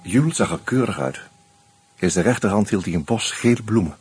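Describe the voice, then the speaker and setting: Husky, low-voiced wry narrator. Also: male voice, animated, brisk, a little mellow, no echo. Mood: wry, matter-of-fact. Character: wry male first-person narrator, fond of a drink. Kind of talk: scripted radio drama narration.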